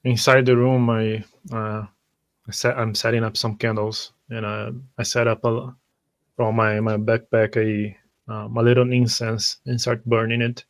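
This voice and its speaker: A man with a creaky, gravelly voice and flat intonation plays a tabletop game. He describes his character's actions haltingly, repeating words as he improvises. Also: mid-range voice. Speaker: tabletop roleplay player. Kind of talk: improvised first-person roleplay narration.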